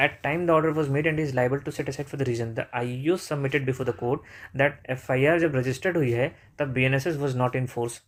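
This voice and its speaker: A man with an Indian English accent giving a legal lesson, explaining step by step and answering his own questions. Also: medium pitch. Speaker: law lecturer.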